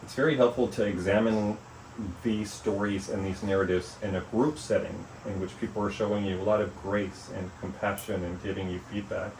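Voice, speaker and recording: A man with a very low voice talks in a measured, reflective way, often pausing on "um" and "you know". He sits a little back from the microphone in a roomy, echoing space with faint noise underneath. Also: a little mellow. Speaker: author interviewed about his book. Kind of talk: reflective interview conversation. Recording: echoey room, mic at a distance, faint noise.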